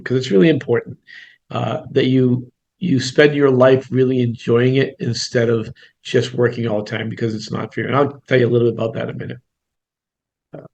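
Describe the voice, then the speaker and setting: A man's voice: mid-range voice, vocal fry, a little mellow. A man in his sixties, a real estate coach, giving a blunt, conversational webinar talk with self-deprecating asides. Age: 60s. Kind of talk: webinar presentation.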